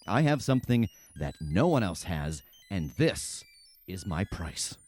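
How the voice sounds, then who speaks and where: An actor playing an eager, stubborn, sweet-toothed scientist in a comedic audio drama. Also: male voice, low voice, big pitch swings, measured pace, darkish tone, faint music behind, heavily acted. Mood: proud, stubborn.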